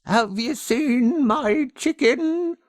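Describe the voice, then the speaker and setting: Man putting on a crazy old woman, very high and drawn-out. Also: animated, dry recording, clean studio sound, exaggerated voice. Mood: eerie, quiet.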